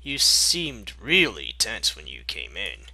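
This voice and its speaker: A man's voice: on the low side, wildly swooping pitch, takes it slowly, pushed and bright, slightly rough, dry recording, voice pushed down, exaggerated voice. A man voicing a friendly little male cartoon dragon in a story reading. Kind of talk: fan fiction character reading.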